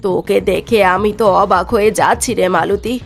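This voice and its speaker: A woman as a creaky-voiced, caring elder sister. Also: medium pitch, a little fast, soft background music, close to an everyday voice. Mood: earnest, questioning. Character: caring, worried elder sister in a village family. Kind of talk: Bengali fairy-tale cartoon dialogue.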